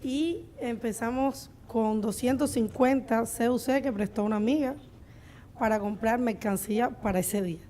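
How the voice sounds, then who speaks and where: Female, Cuban accent, higher-than-average voice, small-business owner answering unscripted at a live panel. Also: moderate pace, dark tone, slight background noise. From Cuba. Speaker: restaurant owner on a panel of entrepreneurs.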